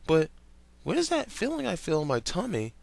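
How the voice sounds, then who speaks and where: A man voicing an innocent, cheerful young boy hero in a fan fiction reading, lifting his voice higher with naive wonder. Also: wildly swooping pitch, normal speed, creaky, darkish tone, dry recording, heavily acted. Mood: wide-eyed.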